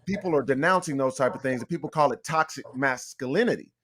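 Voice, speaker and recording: A man's voice: medium pitch, quick pace, a bit bright, slight background noise. A man hosting a podcast, asking long conversational questions with casual slang. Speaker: podcast host.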